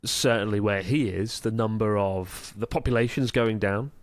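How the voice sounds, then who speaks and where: A man talks things through on a podcast, rambling and wry, now and then swearing offhand. His voice sits fairly low. He is recorded close on a dry mic with no room sound. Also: normal speed, no room sound. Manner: rambling, sardonic, swears casually. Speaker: podcast co-host. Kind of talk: casual podcast chat.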